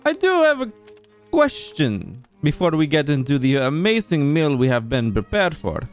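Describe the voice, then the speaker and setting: A man's voice: fairly high pitch, huge pitch swings, soft background music. Man playing a suave, swooping vampire lord. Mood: probing, controlled. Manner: courteous, theatrical, deliberate. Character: suave, formal vampire lord hosting a soiree. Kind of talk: Minecraft roleplay acting.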